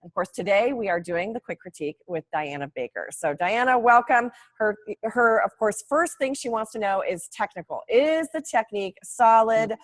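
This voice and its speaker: Woman host, higher voice, bubbly and chatty, laughs a lot. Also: animated, moderate pace, few pauses. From Oregon, USA. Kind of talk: casual live-stream chat.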